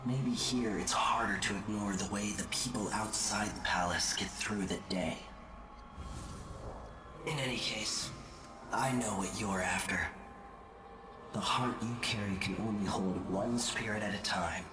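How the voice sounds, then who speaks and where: An actor playing an enigmatic, knowing otherworldly male being in a video game, with a deliberate, detached delivery. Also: on the low side, noisy background, natural voice. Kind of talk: video game cutscene acting. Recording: echoing space, music clearly underneath.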